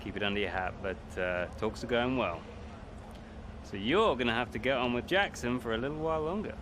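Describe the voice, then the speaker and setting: An actor playing a sly, gossiping rival racing driver who needles and confides, in a naturalistic game cutscene. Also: male voice, mid-range voice, a little fast, noisy background, natural voice. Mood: confiding.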